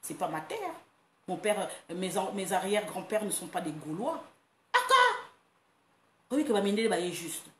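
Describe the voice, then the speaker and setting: Animated woman in an echoey room. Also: mid-range voice, moderate pace, pauses often. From France. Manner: animated, laughing, breaking into playful chants. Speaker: online talk host. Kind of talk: casual monologue, mixing Lingala and French. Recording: roomy echo, faint background noise.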